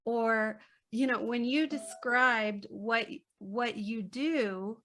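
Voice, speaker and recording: A woman speaking as a podcast guest in a reflective conversation, gentle, unhurried and reassuring. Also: a little high. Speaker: podcast guest, children's book author.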